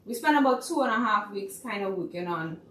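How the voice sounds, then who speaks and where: A woman's voice: medium pitch, animated, fairly quick, pushed and bright. A woman with a Trinidadian accent, a playwright guest, chatting casually and animatedly with plenty of fillers. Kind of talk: casual chat. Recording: roomy, distant mic, faint noise.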